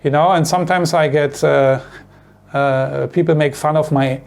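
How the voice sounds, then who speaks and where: A man's voice: a little high, steady tone, moderate pace, creaky. A man from Germany with a strong German accent, German being his mother tongue, talking casually to camera as a vlogger, rambling and repeating himself with many fillers and "you know" and "right?" tags.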